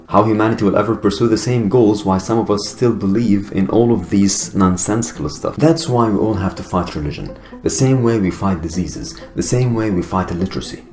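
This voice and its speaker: Young adult male, Arabic accent, low-pitched, deliberate vlogger delivery, roomy sound, faint guitar bed. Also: darkish tone. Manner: earnest, deliberate, with pauses and fillers. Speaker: YouTuber. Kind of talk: personal video monologue. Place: Finland. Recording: echoey room with guitar music behind.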